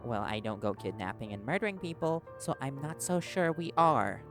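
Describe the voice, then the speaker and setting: A man's voice: medium pitch, lively intonation, moderate pace, over music, close to an everyday voice. A man playing a stubborn, wary male reporter held captive in an audio drama, speaking in a hesitant yet defiant way. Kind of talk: audio roleplay drama acting.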